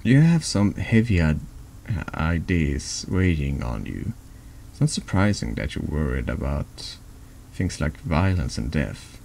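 A man reading a horror text adventure aloud in ASMR style, haltingly and stumbling over words, close to the mic over background noise. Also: rumbling low, very animated, creaky, a little mellow.

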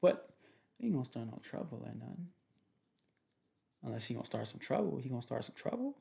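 A young man playing a protective, jealous boyfriend in an ASMR roleplay, talking in soft, slangy, repetitive, teasing phrases. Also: medium pitch, big pitch swings, fairly quick, creaky. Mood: insistent, protective. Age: young adult. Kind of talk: ASMR boyfriend roleplay, improvised casual chat. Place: small room at home, indoors.

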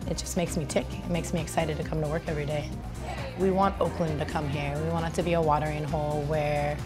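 Woman, very low voice, passionate restaurant owner, music bed. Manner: passionate, earnest. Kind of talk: interview soundbite.

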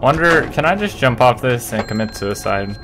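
A man in his twenties giving jokey, sweary, excitable gaming commentary into a close desk microphone in a room at home. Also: medium pitch, moderate pace. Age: 20s. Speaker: gaming video host. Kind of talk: casual let's play commentary. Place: small home room, indoors. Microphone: close desk microphone with pop filter. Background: game music.

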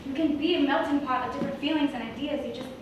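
Actress as a high, echoey, spirited young heroine. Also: female voice, rapid-fire, natural voice. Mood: earnest, firm. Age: young adult. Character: spirited, indignant young Mexican American heroine, bossy yet caring. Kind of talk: stage acting in a comic musical. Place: small indoor theatre stage, far camera. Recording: echoey stage from far off, audience noise.

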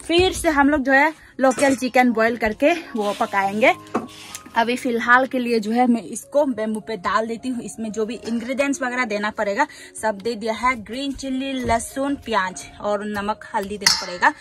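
Higher-voiced young Northeast Indian woman vlogger. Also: moderate pace, a bit bright, soft background music. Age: young adult. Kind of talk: casual cooking vlog narration. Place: rural bamboo house, kitchen and yard. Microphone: phone or camera at arm's length.

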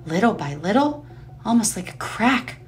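A woman's voice: medium pitch, big pitch swings, moderate pace. A woman reading dramatic scripted narration for an eerie audio play. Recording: music under the voice.

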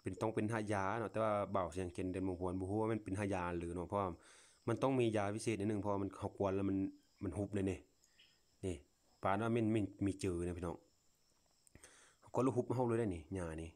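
Casting: male Lao vlogger, low-pitched voice, folksy and full of wonder, off-the-cuff outdoor phone commentary. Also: steady tone, quick pace, darkish tone. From Laos. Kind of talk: casual unscripted commentary to viewers while filming. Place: outdoors, at a grassy patch.

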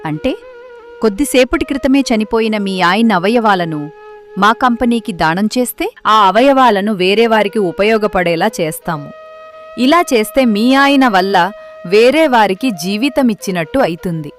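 A woman playing a greedy, scheming young daughter-in-law who talks persuasively in an animated moral story. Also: mid-range voice, normal speed, faint music behind, close to an everyday voice. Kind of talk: acted dialogue in a Telugu moral story.

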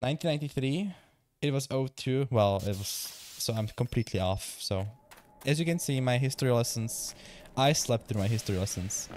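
A man's voice: mid-range voice, expressive, fairly quick, a little mellow, slight background noise. A young man from the Czech Republic with a Czech accent, a streamer chatting casually, reading chat aloud and swearing now and then. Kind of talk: casual live-stream chat while gaming.

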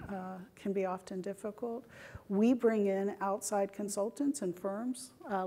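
A middle-aged woman speaking on a conference panel through a lapel mic, measured, with frequent pauses. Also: mid-range voice, warm tone. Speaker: panelist, government IT executive. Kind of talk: panel discussion. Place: conference stage.

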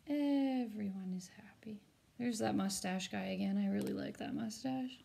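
A woman's voice: mid-range voice, normal speed, gravelly, warm tone. A woman teacher reading a picture book aloud to children expressively, with chatty, informal asides.

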